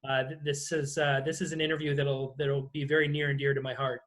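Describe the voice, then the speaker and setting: A man hosts a casual one-on-one interview. His voice sits a little high and has a clearly bright, forward edge as he puts informal questions to his guest. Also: flat delivery, a little fast. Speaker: interview host. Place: video call.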